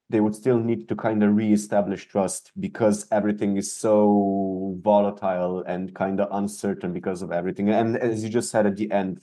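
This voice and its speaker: Male interviewer, flat delivery, warm dark voice, halting and restarting. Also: fairly low pitch. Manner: halting, stumbles and restarts words. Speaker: podcast host. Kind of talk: conversational interview.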